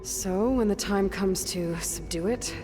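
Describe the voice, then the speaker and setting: Game heroine, female actor: young woman warrior, determined, blunt, plain-spoken questioner. Hall-like reverb, clear music underneath. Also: mid-range voice, unhurried, natural voice. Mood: probing, determined. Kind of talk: scripted video game dialogue.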